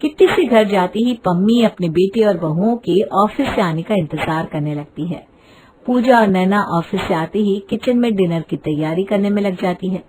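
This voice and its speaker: A woman's voice: medium pitch, darkish tone, rough recording. A woman reading scripted narration of a moral story as a storyteller.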